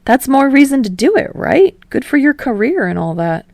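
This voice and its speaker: Woman playing an easygoing young man, mellow and creaky. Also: sits high, big pitch swings, moderate pace, no echo, pitched above the performer's own voice, heavily acted. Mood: curious, upbeat. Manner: casual, upbeat, gently coaxing. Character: friendly, earnest, easygoing young man, curious fan.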